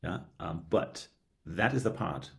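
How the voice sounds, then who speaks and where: A middle-aged man speaking earnestly as a podcast guest, halting with frequent restarts and a habitual "yeah". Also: low voice, expressive, creaky. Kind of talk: reflective conversational interview.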